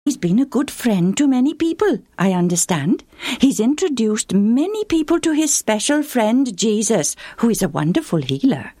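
A woman's voice: on the high side, sing-song, moderate pace, mellow, dry recording, voice pushed up. A woman voicing a polite, formal traveling man in a children's story. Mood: courteous. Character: courteous traveling man from afar.

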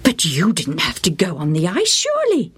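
A woman's voice: fairly low pitch, wildly swooping pitch, moderate pace, husky, heavily acted. A woman voicing a fretful mother scolding her soaked schoolboy son, in a storybook reading. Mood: doubting. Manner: fussing, exclaiming.

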